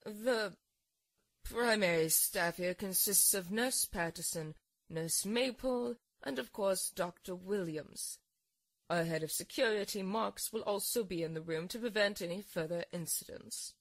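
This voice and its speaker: A woman playing a composed, clinical nurse giving measured, formal reports in a horror audio drama. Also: medium pitch, no room sound.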